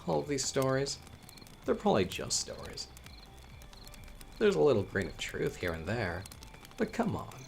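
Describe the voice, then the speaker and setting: A man playing a chatty young storyteller with hidden menace in a horror audio roleplay, talking casually with wry asides and hesitant pauses. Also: medium pitch, huge pitch swings, gravelly, faint music behind, full character voice. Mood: casual, teasing.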